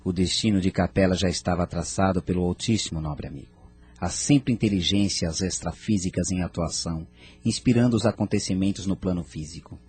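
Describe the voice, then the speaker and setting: A man playing a noble spirit mentor in an audio drama, narrating in a measured, formal, grave manner. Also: sits low, a little mellow, husky, faint music behind. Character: Inumar, grave noble male spirit mentor recounting history.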